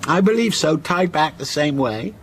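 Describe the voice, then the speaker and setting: An actor playing a genial, faintly unsettling man who teases as he tells a ghost story in a radio drama, in a measured, coy way. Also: fairly high pitch, animated, soft background music, lightly acted. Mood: storytelling.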